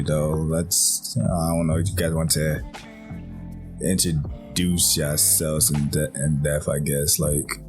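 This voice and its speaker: Deep voiced guy hosting a chill podcast, slangy, guitar music under it. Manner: relaxed, slangy, chuckles often. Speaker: podcast host. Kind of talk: casual chat.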